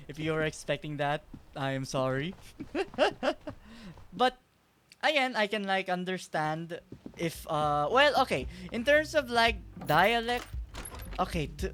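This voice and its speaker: High-voiced Filipino streamer, chatty. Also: male voice, a bit bright. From Philippines. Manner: chatty, rambling, self-deprecating, laughs a lot. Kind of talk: casual game-stream chat.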